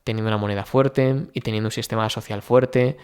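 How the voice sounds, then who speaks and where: Spanish guy vlogging, smooth clear voice, lots of ehs and restarts. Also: on the low side, steady tone, fairly quick, a little mellow. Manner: chatty, thinking aloud with many fillers and restarts. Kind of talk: casual personal vlog talk.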